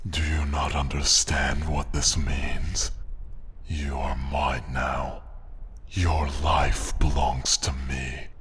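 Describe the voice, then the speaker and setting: A man playing a haughty, menacing dragon who taunts condescendingly in a breathy rumble, in a fantasy ASMR roleplay. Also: little pitch movement, fairly bright tone, full character voice. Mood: gloating, possessive. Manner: slow, deliberate, condescending taunting. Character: menacing, haughty male dragon, mocking and possessive.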